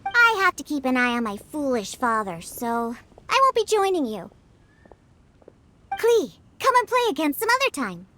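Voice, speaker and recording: A woman voicing a proud, prickly young girl in a video game, haughty and grudging but secretly soft-hearted. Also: high voice, big pitch swings, normal speed, noisy background. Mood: matter-of-fact.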